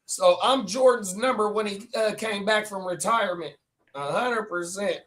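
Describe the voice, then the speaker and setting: High-voiced hype man in his forties. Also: moderate pace, fairly bright tone. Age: 40s. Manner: hype-man interjections, repeats "oh, my mama". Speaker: podcast host. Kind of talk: casual profane banter.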